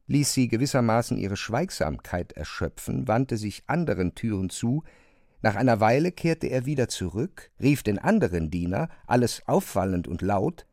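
A man's voice: mid-range voice, a little mellow, no echo, clean studio sound. A man reading scripted literary narration for an audio drama.

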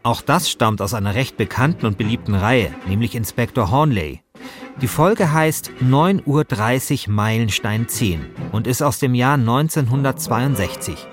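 A man's voice: mid-range voice, lively intonation, measured pace, soft background music. A man speaking as a podcast co-host, moving between casual chat and scripted narration.

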